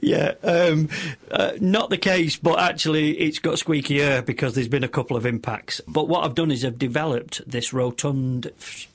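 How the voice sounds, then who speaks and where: A middle-aged man with a squeaky voice, a radio interview guest who rambles through long stories with many restarts and self-deprecating asides. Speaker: radio interview guest, motorcycle stunt show compere.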